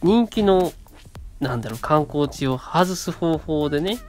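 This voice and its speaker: A man's voice: fairly high pitch, expressive, measured pace, faint music behind. A man around thirty co-hosting a casual radio show, talking in short phrases with frequent little tag words.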